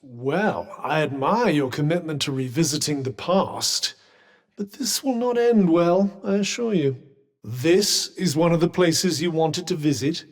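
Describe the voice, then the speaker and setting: An actor playing a haughty, well-spoken talking magic cuff in a video game, with a British accent and dry, sarcastic, formal delivery. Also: male voice, fairly high pitch, lively intonation, unhurried. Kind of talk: scripted video game companion dialogue.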